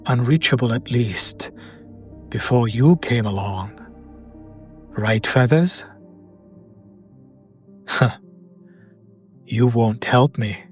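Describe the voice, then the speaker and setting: A man playing a sardonic, teasing demon in an intimate roleplay audio drama, speaking deliberately and directly to the listener. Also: mid-range voice, soft background music. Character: male demon buyer, sardonic, teasing, curious about his captive.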